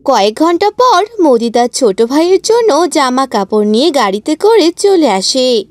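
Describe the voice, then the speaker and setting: A woman's voice: high-pitched, big pitch swings, moderate pace, no echo. A woman giving scripted narration for a cartoon story.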